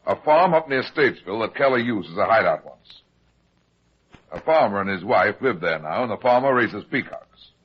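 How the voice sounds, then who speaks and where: Calm, wry private detective, deeper register, unhurried delivery, lo-fi vintage radio drama sound. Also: male voice, husky. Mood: matter-of-fact. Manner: unhurried, dry, terse. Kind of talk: old-time radio detective drama acting. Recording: muffled lo-fi old radio recording.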